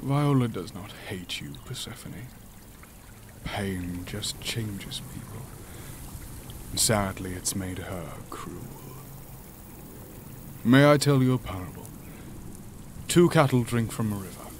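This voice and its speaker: An actor playing an imprisoned alien monster, gentle and philosophical, speaking in a formal, measured way for an audio drama. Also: male voice, fairly low pitch, animated, background noise. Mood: gentle, grave. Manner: formal, measured, stilted speech.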